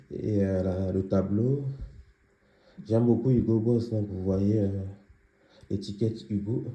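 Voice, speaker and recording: A young man giving a casual tour of his apartment, pausing often with fillers as he walks around. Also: on the low side, vocal fry, warm tone, echoey room. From Congolese heritage, living near Paris. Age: young adult. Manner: hesitant, many filler pauses. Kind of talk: casual home tour vlog. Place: small apartment indoors.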